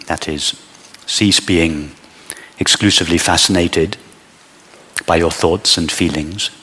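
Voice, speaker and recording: A man leading a guided meditation as a teacher, speaking in measured short phrases with long pauses. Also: sits low, steady tone, bright, forceful tone, husky, long reverb tail.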